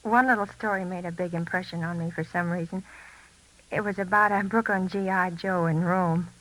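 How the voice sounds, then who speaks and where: An actress playing an earnest pilot's wife in a 1940s radio drama, narrating a letter intimately and reflectively. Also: female voice, fairly low pitch, fairly even intonation, moderate pace, fairly bright tone, close to an everyday voice, poor audio. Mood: wistful, reflective. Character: earnest wartime pilot's wife writing to her husband. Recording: old 1940s radio recording with music.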